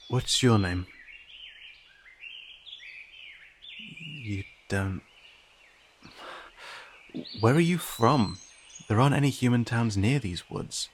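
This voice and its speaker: Man playing a low-voiced young cat-man in audio drama. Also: noisy background. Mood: concerned. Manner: informal, hesitant, gently questioning with dry teasing. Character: friendly, teasing young male cat-person, agile and concerned. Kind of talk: audio roleplay drama addressed to a silent listener.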